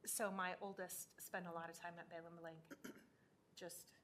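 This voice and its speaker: Low-voiced woman board member. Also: little pitch movement, a little slow, fairly bright tone. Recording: faint rumbling noise.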